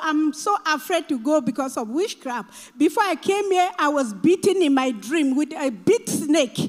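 A woman's voice: sits high, in a large hall. A woman from Cameroon giving her personal testimony in an earnest, hesitant way, with filler sounds between her thoughts. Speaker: church member giving testimony.

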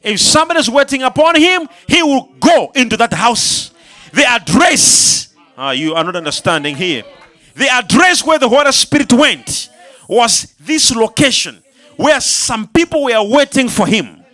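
Male preacher, very high and bright voice, calls out to the congregation and builds to shouts. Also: big pitch swings, measured pace. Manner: call-and-response, rising to shouts. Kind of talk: sermon.

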